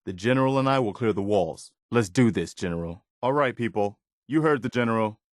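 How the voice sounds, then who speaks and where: Casting: male actor as steadfast, hopeful militia officer for a game; lowish voice, sincere measured delivery, close dry studio sound. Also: animated, no echo, lightly acted. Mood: commanding. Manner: sincere, measured, plain-spoken. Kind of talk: video game dialogue acting.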